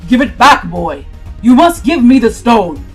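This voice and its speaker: A woman's voice: a little high, very animated, measured pace, slightly rough, soft background music, heavily acted. A woman voicing a commanding, ominous man aboard a pirate ship in a storybook, with a formal, imperious manner. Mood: roaring, commanding.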